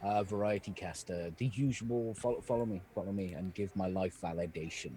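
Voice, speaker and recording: A man's voice: sits low, brisk, dark tone. A man from Wales chatting casually as a player on a game stream. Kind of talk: casual chat.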